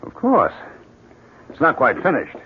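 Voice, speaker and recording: A man's voice: on the high side, wildly swooping pitch, measured pace. A man acting an eager, moody painter husband in a 1970s radio drama. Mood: elated. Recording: muffled, hissy 1970s radio broadcast.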